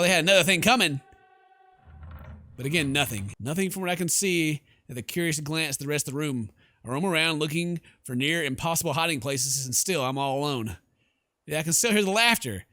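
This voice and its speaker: Bright, high-voiced male gamer reading theatrically. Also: a little hiss or hum. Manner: theatrical, jokey. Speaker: gaming video host. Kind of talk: gameplay commentary, reading story text aloud with asides. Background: game music.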